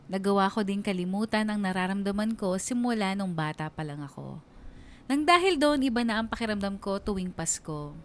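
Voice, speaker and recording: A woman's voice: mid-range voice, lightly acted. A woman playing a young woman who recounts her past in a radio drama, reflective, wistful and confiding. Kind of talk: first-person radio drama narration.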